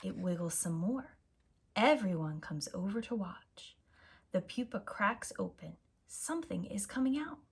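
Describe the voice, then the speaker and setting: A woman reading a picture book aloud to young children in an expressive, deliberate manner as a storyteller. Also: mid-range voice, creaky, a little hiss or hum. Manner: slow, expressive, simple deliberate phrasing. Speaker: storyteller, preschool teacher.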